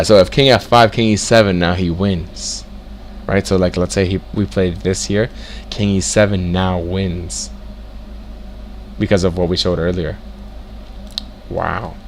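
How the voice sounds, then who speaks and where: A young man teaching chess in a casual way, reading out moves and breaking into excited exclamations as he works through the position. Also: sits low, a little hiss or hum. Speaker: chess tutor. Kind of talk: casual screen-share lesson, thinking aloud.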